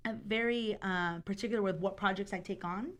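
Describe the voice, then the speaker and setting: A young woman, a Spanish-first speaker, telling her own stories on a radio interview. She talks in a lively, animated way at an everyday middle pitch, with frequent fillers and restarts. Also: a little fast. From born in Chicago, raised partly in Mexico. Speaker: guest, children's book author. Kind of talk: casual interview talk.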